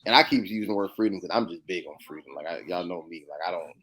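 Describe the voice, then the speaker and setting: A man with a fairly low voice and an American accent talks as a podcast co-host. He circles his point, restarting and repeating words, in a casual conversational style. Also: quick pace, a bit bright, husky. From United States.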